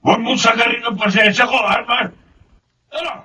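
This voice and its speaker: A man's voice: very high voice, steady tone, moderate pace, sharp tone, full character voice. An actor playing an angry, threatening wealthy father in a Filipino drama, menacing and impatient. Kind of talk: Filipino radio drama acting. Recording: distant, slightly noisy audio.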